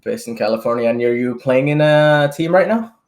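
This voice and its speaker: A man's voice: medium pitch, animated, fairly quick. A man in his twenties hosting a podcast, chatty and casual, tossing in swearing and tag questions. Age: 20s. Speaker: podcast host.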